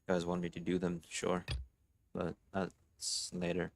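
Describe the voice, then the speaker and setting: A man's voice: low-pitched, big pitch swings, unhurried, creaky, very noisy. A young man giving casual live reaction commentary on a game, rambling and offhand as a streamer.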